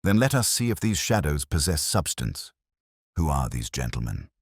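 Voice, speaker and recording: Male reader as keen master detective: very deep, crisp, imperious, precise, dry studio sound. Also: big pitch swings, moderate pace, no echo, heavily acted. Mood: grave, keen. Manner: formal, precise, emphatic, lecturing. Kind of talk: audiobook detective story dialogue.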